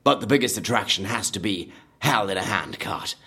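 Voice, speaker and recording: An actor playing a brash theme park designer, husky and animated, relishing gruesome details in an audio drama. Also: male voice, on the low side, fairly quick, full character voice. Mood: excited showmanship. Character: brash, morbidly enthusiastic male designer of a dangerous theme park.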